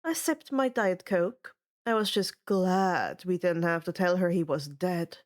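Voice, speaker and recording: A woman playing a jaded, sardonic male god in an audiobook, with a deadpan drawl. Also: on the low side, a little slow, lightly acted. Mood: deadpan, weary.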